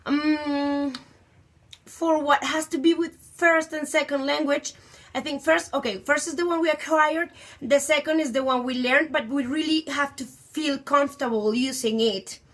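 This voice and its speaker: A woman in her twenties with a Colombian accent, a native Spanish speaker who teaches English, speaking thoughtfully and pausing to search for words. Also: sits high, fairly even intonation, a bit bright. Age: 20s. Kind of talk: personal talk on language learning.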